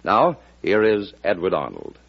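A man's voice: deep voice, big pitch swings, measured pace, creaky, soft background music. A man announcing in a polished, earnest 1940s scripted network radio style. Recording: dull old radio broadcast recording.

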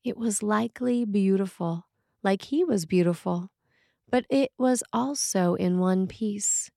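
A woman priest reading a scripted sermon in a measured, deliberate and solemn manner. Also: medium pitch, vocal fry, mellow, no room sound, studio quality. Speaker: Episcopal priest reading a sermon written by a colleague. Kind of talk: read sermon, scripted narration.

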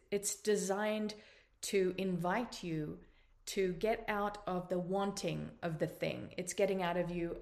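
Aussie woman in her 40s doing an encouraging podcast monologue, kinda low voice, drawn-out phrasing. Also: a little mellow. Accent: Australian. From Australia. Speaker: podcast host. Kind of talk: motivational monologue.

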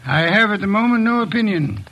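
An actor playing an imperious, erudite private detective in a radio drama, with formal, deliberate phrasing and a husky voice. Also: male voice, high voice, expressive, sharp tone, heavily acted. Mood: smug, commanding. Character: imperious, erudite middle-aged male private detective, unhurried and commanding. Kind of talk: old-time radio drama acting.

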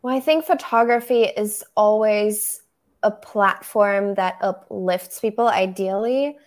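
A young photographer from Germany speaks English. She answers in a measured, reflective way, often pausing on "um" while she builds her points. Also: medium pitch. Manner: thoughtful, measured, frequent "um". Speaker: photographer, podcast guest. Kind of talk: interview answers.

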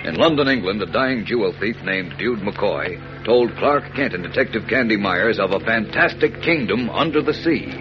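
A man's voice: fairly low pitch, animated, normal speed, few pauses. Dramatic old-time radio announcer man over music. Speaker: announcer and narrator. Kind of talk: dramatic 1940s radio serial narration. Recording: muffled old radio recording. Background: prominent music.

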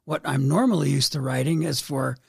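A middle-aged man appearing as a podcast guest, speaking in a measured, modest way. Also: mid-range voice, a little mellow, no room sound, studio quality. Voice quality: gravelly, husky. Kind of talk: casual podcast chat.

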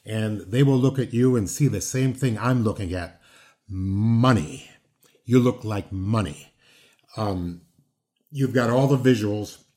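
A man's voice: fairly low pitch, takes it slowly, a little mellow. A man in his sixties chatting casually as a podcast host, rambling with many fillers and restarts. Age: 60s. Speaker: podcast host, former wrestler. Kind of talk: casual interview chat.

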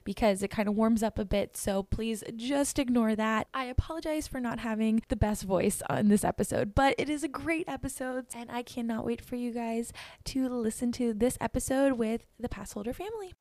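A cheerful woman hosts a casual podcast chat, gushing with enthusiasm. Her voice sits a bit high and carries a light rasp from screaming on rides. The sound is dry and close. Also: moderate pace, darkish tone, no echo. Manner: bubbly, enthusiastic. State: slightly raspy voice from screaming on rides. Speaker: podcast host.

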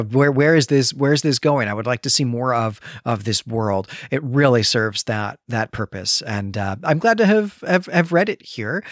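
A man's voice: mid-range voice, no echo. Male podcast co-host, mid-range voice, articulate and bookish, enthusiastic delivery, close dry sound. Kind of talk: book discussion podcast, conversational with some read-aloud passages.

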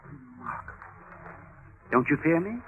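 An actor playing a boastful, would-be menacing criminal in a theatrical, self-important way, in old-time radio drama style. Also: male voice, on the high side, very animated, moderate pace. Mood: boastful menace. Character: boastful, menacing little convict, notorious yet meek criminal. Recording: tinny, hissy vintage radio recording.

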